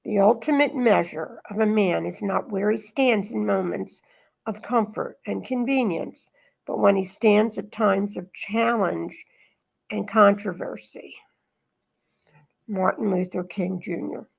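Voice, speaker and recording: A woman leads a spiritual reading and discussion group. Her voice sits at a middle pitch with a noticeable gravelly fry, and she speaks as an informal host. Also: unhurried.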